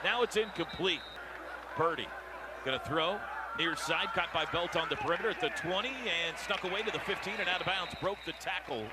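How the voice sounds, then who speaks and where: American male play-by-play radio caller, higher register, terse urgent phrasing, noisy stadium broadcast. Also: brisk. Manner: clipped, urgent running description. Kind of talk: live American football radio play-by-play commentary. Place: outdoor football stadium. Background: steady stadium noise.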